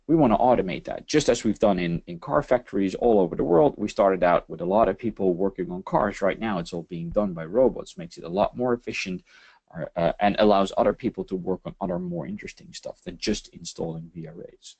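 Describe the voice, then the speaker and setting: A man from the Netherlands with a Dutch accent, presenting a technical webinar over slides and speaking haltingly, with many fillers and repeated words. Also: fairly low pitch, creaky, darkish tone. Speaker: webinar presenter, systems engineering lead.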